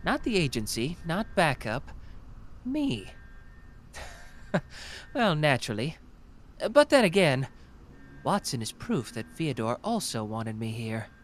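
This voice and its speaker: A man playing a confident, smug young male detective genius in a scripted anime audio roleplay, teasing and self-assured with dramatic pauses. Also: a little high, very animated, slight background noise. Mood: pointed, knowing.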